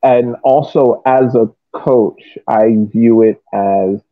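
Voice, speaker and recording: An American man talking casually on a soccer podcast, reasoning aloud in a halting way and often repeating his words. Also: medium pitch, steady tone, no room sound, narrow, tinny audio. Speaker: soccer podcast panelist and youth coach. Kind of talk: casual sports podcast discussion.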